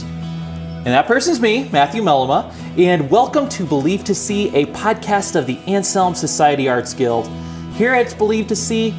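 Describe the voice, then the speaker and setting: A man in his thirties hosting a podcast, reading a scripted opening and then chatting with guests in a wry, self-deprecating way. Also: a little high. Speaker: podcast host. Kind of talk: scripted intro, then casual chat.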